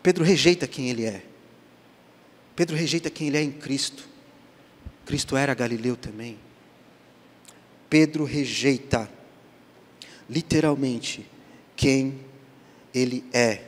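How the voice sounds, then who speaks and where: A male pastor with a Brazilian Portuguese accent preaching a sermon, dropping to hushed lines and then rising to loud emphasis as he acts out the dialogue. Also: medium pitch, unhurried, pauses often. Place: church sanctuary. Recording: church hall reverb.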